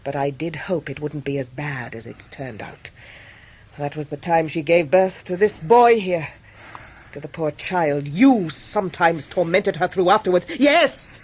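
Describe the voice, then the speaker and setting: A woman's voice: fairly low pitch, slight background noise. A woman playing a brusque, commanding older English great-aunt with a kindly side, in a British radio drama.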